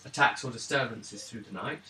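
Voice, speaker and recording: A man acting as game master, improvising the narration in a halting, casual way, with swearing and throat clearing. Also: medium pitch, pushed and bright. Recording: roomy, distant mic, faint noise.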